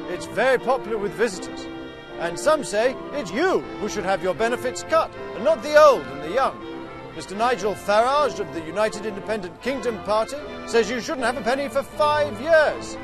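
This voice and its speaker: A man narrating a mock public information film in a cheery, deadpan satirical manner. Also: very high-pitched. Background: music.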